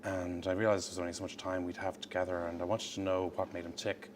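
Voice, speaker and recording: A man's voice: deep voice, flat delivery, quick pace, noisy background. A man, an author, talking animatedly in a TV interview.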